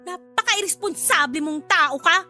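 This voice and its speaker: A woman's voice: extremely high pitch, normal speed, pushed and bright, faint music behind, full character voice. An actress with a Filipino accent playing a fed-up wife and mother, scolding in exasperation in a radio drama. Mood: furious, sarcastic.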